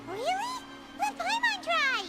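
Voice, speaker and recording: A woman voicing a tiny, cheeky, curious fairy girl companion in a video game, with a squeaky childlike voice and chirpy informal manner. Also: big pitch swings, unhurried, heavily acted. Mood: eager. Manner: chirpy, informal, refers to self in third person. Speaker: game character. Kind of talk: scripted video game dialogue. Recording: game audio with background music.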